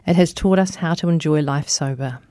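A woman's voice: deep voice, brisk, no echo. A woman hosting a radio interview show, reading a scripted introduction and then drawing out her guest with encouraging questions. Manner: encouraging, warm prompts, reads scripted intro.

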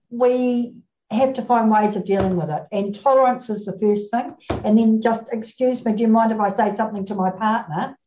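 A woman with a New Zealand accent, explaining card-game etiquette calmly and at length on a community radio show. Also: mid-range voice, slight background noise. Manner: measured, explanatory. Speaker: bridge expert guest. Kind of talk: casual radio chat. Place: radio studio. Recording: roomy, slightly dull studio sound.

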